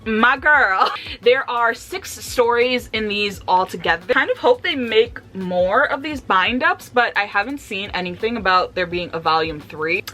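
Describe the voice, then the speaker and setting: A woman chatting casually and candidly about books for a vlog, with an animated, slangy, giggly way of talking. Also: medium pitch, measured pace. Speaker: book reviewer vlogger.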